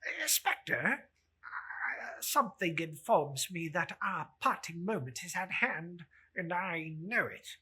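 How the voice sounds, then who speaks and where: A woman's voice: fairly low pitch, big pitch swings, slow, pushed and bright, breathy edge, exaggerated voice. A woman playing a frightened old male miser in a dramatic storybook reading, stammering and beseeching. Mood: anxious.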